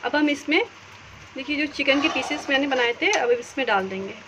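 A woman's voice: high voice, lively intonation, fairly quick. A woman hosting a home recipe tutorial, explaining each step to viewers. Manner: instructional, step by step, addressing viewers. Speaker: home cook and channel host. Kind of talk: recipe tutorial narration over hands-on cooking. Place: home kitchen, indoors. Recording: very noisy homemade phone recording, household hum.

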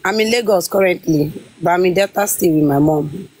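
A woman's voice: fairly low pitch, brisk, darkish tone. A young woman from Nigeria with a Nigerian accent, sassily chatting in pidgin on a phone live stream. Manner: sassy, playfully indignant. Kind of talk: pidgin casual chat. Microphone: phone camera.

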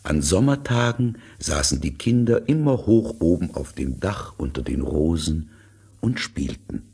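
A man narrating a fairy tale as a measured, expressive storyteller with a deep husky voice. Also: exaggerated voice. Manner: deliberate, expressive.

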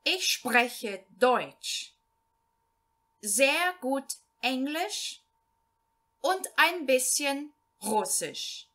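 A woman's voice: high-pitched, sing-song, a bit bright, exaggerated voice. A woman reading as a man introducing himself. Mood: plain. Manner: slow, clearly repeated reading for learners. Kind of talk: read-aloud language lesson.

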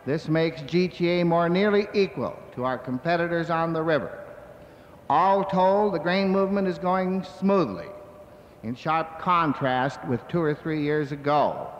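High-pitched man speaking in an echoing hall. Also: measured pace, slight background noise. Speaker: grain cooperative executive giving a speech. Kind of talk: prepared convention speech. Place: large convention hall. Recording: dull, echoey hall recording from 1970s.

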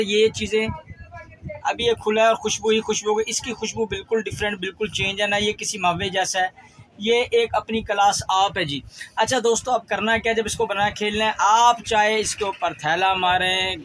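Casting: male Pakistani host, high voice, casual how-to delivery, drives points home by repetition, some noise behind. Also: fairly even intonation, normal speed, a bit bright, rough recording. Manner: repeats phrases for emphasis. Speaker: fishing channel host. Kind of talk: casual instructional talk to viewers. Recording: clear background noise.

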